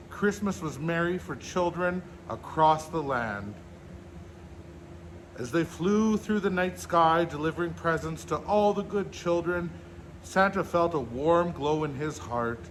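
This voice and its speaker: A man with a slightly high voice reads a children's story aloud as the storyteller. His delivery is measured and expressive, and there is noticeable noise behind him. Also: poor audio. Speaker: storyteller in a Santa persona, host.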